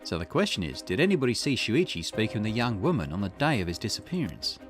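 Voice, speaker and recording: A man's voice: lowish voice, very animated, fast, soft background music. A man voicing a shrewd, self-assured sleuth in a visual novel, explaining with teasing confidence. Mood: sly.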